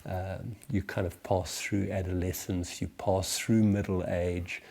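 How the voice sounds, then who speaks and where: A low, mellow male voice in his sixties with a South African accent. He teaches reflectively, choosing words deliberately and leaving hesitant pauses as he goes. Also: flat delivery, gravelly. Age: 60s. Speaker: church teacher leading a series. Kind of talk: reflective spoken teaching for small groups.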